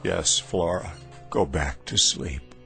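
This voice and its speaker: An actor playing a weary aging American man desperate for youth, speaking slowly and deliberately in a radio drama. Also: low voice, gravelly, a bit bright, soft background music, full character voice. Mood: wry, calm. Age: older. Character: weary aging brother, desperate to become young again.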